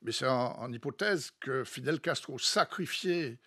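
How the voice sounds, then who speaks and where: A man with a Martinican accent, telling history in casual discussion, halting yet emphatic, slipping Creole into his French. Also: mid-range voice, expressive, sharp tone, husky. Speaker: studio guest.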